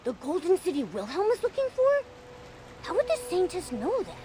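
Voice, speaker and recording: Casting: tiny inquisitive game companion, high voice, animated and expressive. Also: female voice, normal speed, full character voice. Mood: curious. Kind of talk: game cutscene acting.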